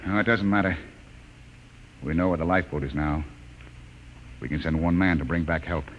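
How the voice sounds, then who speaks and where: An actor playing a skeptical, commanding spaceship captain, brisk and questioning, in old-time radio drama style. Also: male voice, sits low, steady tone, lightly acted. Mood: sober. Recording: dull, slightly noisy old radio recording.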